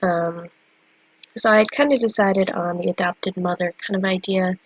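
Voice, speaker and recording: A woman's voice: medium pitch, steady tone, moderate pace, lo-fi sound, slight background noise. A young woman with a stuffy, cold-congested voice, chatting casually about her drawings with many fillers. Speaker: artist vlogger. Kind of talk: casual chat.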